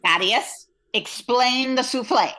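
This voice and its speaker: A woman's voice: on the high side, takes it slowly, bright, forceful tone, faint music behind. A woman playing an obliging, eager-to-please office employee, speaking expressively in a radio comedy. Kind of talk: old-time radio comedy acting.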